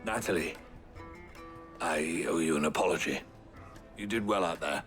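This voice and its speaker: A stern but humbled guardsman speaks soberly in a deep voice. He is a formal fantasy game figure, with faint music behind. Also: moderate pace, a bit bright. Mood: contrite, sincere. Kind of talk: video game cutscene acting.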